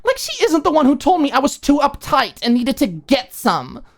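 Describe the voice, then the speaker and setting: A woman's voice: high-pitched, wildly swooping pitch, moderate pace, voice pushed up, exaggerated voice. A woman voicing an indignant young female student, huffy and exasperated, in an audiobook drama. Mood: indignant ranting. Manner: huffy, exasperated, rhetorical questions. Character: Maud, indignant young woman student ranting at her roommate.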